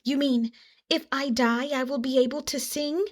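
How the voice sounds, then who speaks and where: A woman's voice: sits high, steady tone, normal speed, voice pushed up, natural voice. A woman voicing a small, wistful, earnest storybook armadillo with an eager, emphatic delivery. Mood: earnest pleading.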